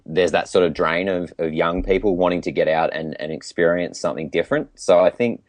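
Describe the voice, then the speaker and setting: A man's voice: low-pitched, fairly quick, no room sound. An Australian man from Tasmania chatting casually as an interview guest, telling his story in a hesitant, reflective way. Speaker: podcast guest, PE teacher.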